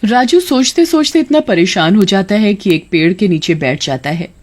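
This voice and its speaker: A woman's voice: mid-range voice, animated, normal speed, darkish tone. A woman narrating a scripted moral tale as a storyteller.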